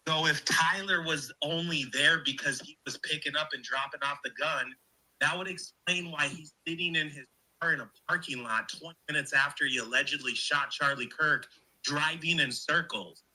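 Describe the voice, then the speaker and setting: A man talking casually and excitedly, explaining things insistently and repeating himself to get his point across. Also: on the high side, moderate pace, rough recording.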